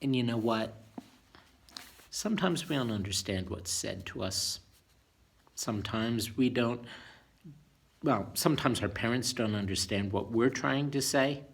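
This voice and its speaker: A man telling a children's bedtime story in an expressive way, with chatty teacherly asides and questions to young listeners. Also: mid-range voice, unhurried, gravelly, long pauses, husky.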